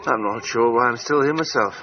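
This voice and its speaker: An actor playing a proud, moody gentleman adventurer in a radio drama. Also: mid-range voice, fairly quick, close to an everyday voice. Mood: weary, despairing. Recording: dull sound with faint background noise.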